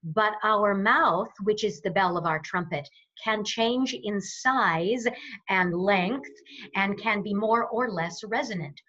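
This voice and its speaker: A woman's voice: mid-range voice, sharp tone, muffled recording. A woman speaking as a voice coach in an interview, explaining and demonstrating in an instructional way. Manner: demonstrative, explanatory, deliberately varies resonance. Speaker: voice coach guest.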